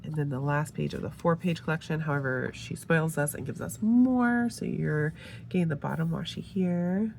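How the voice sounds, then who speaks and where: Slow, gravelly low-voiced woman with a cold. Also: slight background noise. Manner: relaxed, gushing, with fillers and soft trailing asides. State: getting over a head cold, a bit nasal. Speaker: planner sticker reviewer. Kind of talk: casual show-and-tell narration.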